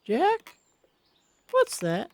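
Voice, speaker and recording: A man voicing a frail, anxious elderly wife with a creaky voice in an audio story. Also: very high voice, huge pitch swings, very slow, dry recording, pitched above the performer's own voice, heavily acted.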